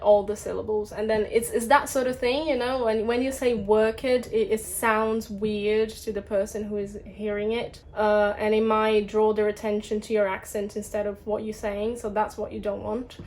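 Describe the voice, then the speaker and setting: A woman's voice: medium pitch, flat delivery, moderate pace, few pauses, darkish tone. A young Brazilian woman, Portuguese her first language, with a British-tinged accent, chatting casually as an English tutor and filling her talk with "like" and restarts. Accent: Brazilian with British influence. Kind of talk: casual talking-head vlog.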